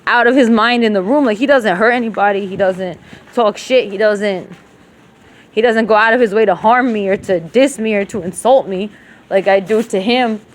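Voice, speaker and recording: A woman's voice: medium pitch, normal speed. Rambling, profane woman switching languages. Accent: rural Levantine Arabic when speaking Arabic. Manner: emotional, profane, switches between Arabic and English. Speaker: vlogger talking to herself. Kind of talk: rambling personal monologue.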